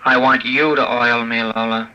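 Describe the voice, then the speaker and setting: An actor playing a gentle, naive male robot in a 1950s radio drama, asking simple, earnest questions. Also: medium pitch, little pitch movement, moderate pace, dull audio. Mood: plaintive.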